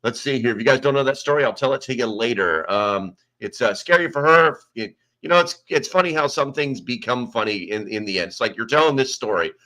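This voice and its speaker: American man host, bright rambling chat. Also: medium pitch, expressive, a little fast. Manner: rambling, reads chat names aloud. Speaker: livestream host. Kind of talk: casual livestream chat. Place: sparse, nearly empty room. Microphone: small desk microphone.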